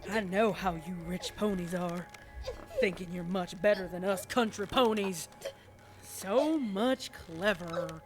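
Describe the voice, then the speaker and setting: A woman's voice: on the low side, unhurried, music in the background, natural voice. A woman voicing a deranged, paranoid country farm pony with a rural Southern American accent, rambling and stammering with vengeful menace in a horror story reading. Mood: menacing, taunting. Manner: rambling and stammering, snapping into angry shouts. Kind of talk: dramatic horror fan fiction reading.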